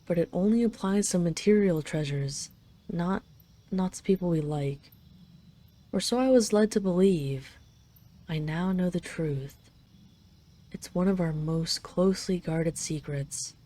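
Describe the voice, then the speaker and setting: A woman acts out a dragon queen doting on her beloved in an intimate audio roleplay. Her voice is fairly low and very mellow. She lingers over her words, possessive and teasing, yet tender. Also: unhurried. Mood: tender, confiding.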